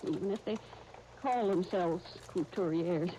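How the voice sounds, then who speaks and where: A woman's voice: on the low side, unhurried, warm tone, lightly acted. A woman playing a blunt, proud but kind-hearted spinster dressmaker, no longer young, in a 1930s radio soap. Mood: brisk, proud. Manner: blunt, crusty, wry, opinionated. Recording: faintly noisy vintage 1930s radio recording.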